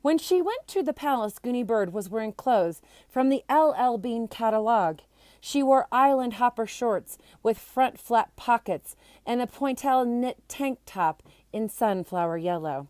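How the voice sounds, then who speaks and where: A woman reading a children's chapter book aloud in an expressive, animated storytelling manner. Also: on the high side, measured pace, no room sound. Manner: animated, acts out character voices. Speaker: storybook reader.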